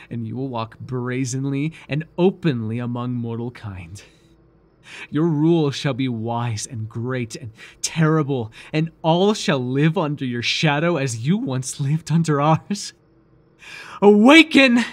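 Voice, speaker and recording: A man voicing a devoted, nervy, lovestruck cultist in an ASMR roleplay, earnest and halting, shifting between grand and flustered delivery. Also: medium pitch, a bit bright. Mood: grand, incantatory. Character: devoted, awkward young male cultist, zealous, lovestruck, nervy.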